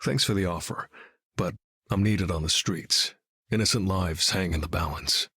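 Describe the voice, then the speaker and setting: A battle-hardened video game vigilante, grim and brooding. His voice is low and very husky, recorded bone dry, with a deadpan edge. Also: normal speed, dry recording, exaggerated voice. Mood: somber. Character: grim, battle-hardened male vigilante leader with keen hearing. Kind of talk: video game character voice lines.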